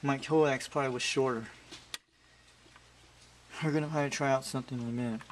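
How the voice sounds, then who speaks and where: Man, mid-pitched, rambling hobbyist chatting off the cuff, repeats himself, heavy background noise throughout. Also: a little fast. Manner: rambling, repetitive. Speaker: CB radio hobbyist. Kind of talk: casual chat while testing radio gear. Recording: very noisy amateur recording, loud hum. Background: loud steady noise.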